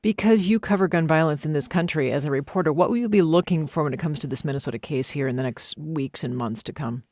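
American guy radio host, voice a bit on the high side, chatty interviewer, dry studio-tight sound. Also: fast talker, a little mellow, dry recording. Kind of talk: conversational public radio interviewing.

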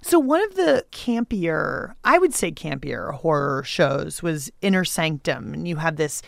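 A woman's voice: sits low, sing-song, unhurried, gravelly, dry recording, studio quality. A woman hosting a casual, conversational radio interview. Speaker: interviewer, host. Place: dry studio.

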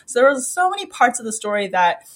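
A middle-aged woman with an American accent speaking as an interview guest, telling personal anecdotes in an animated, emphatic, conversational way. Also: fairly high pitch, fast. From United States. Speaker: interview guest, children's book author.

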